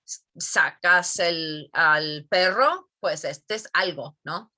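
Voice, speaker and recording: Middle-aged woman, Spanish teacher. Low-ish, bright voice, slow and reflective. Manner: thoughtful, many fillers and tag questions. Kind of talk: interview answers.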